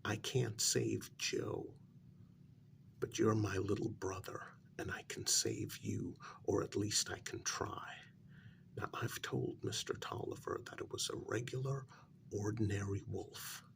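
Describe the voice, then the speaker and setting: A man playing an anxious working-class older brother in a soft-spoken ASMR role-play, speaking in a hushed, deliberate, insistent way. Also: fairly even intonation, gravelly, heavily acted. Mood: urgent, protective. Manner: low, deliberate, insistent, half-whispered warning.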